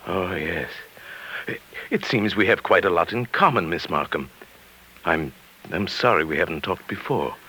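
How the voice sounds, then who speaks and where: An actor playing a meek, henpecked middle-aged botany professor with quiet schemes, hesitant and formal, in a 1940s radio drama. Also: male voice, sits low, animated, moderate pace, slightly rough, exaggerated voice. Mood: shy, hopeful. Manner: hesitant, mild, formal academic. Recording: vintage 1940s radio recording.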